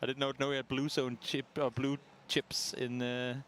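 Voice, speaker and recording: A man doing color commentary on a competitive shooter match, analytical and measured, sometimes self-deprecating. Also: medium pitch, flat delivery, fairly bright tone, slight background noise. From Denmark. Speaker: esports analyst caster.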